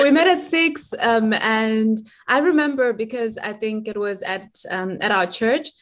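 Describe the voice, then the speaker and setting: A young woman from Zimbabwe speaking informally as a guest in an online discussion, halting as she thinks aloud. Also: medium pitch. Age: young adult. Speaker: guest, community organizer.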